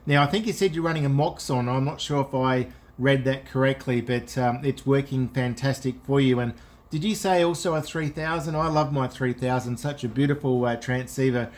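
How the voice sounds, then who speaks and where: A man's voice: medium pitch, moderate pace. A man, an amateur radio operator, making casual on-air contact in a friendly, enthusiastic way.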